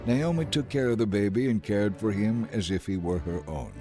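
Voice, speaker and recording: A man narrating a dramatized scripture audiobook in a measured, scripted storytelling style. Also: lowish voice, animated, dark tone, lightly acted. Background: music.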